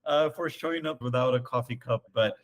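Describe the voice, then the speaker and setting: An upbeat man hosts a podcast interview and speaks with plain enthusiasm. His voice sits at an ordinary middle pitch. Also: fairly quick. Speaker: podcast host.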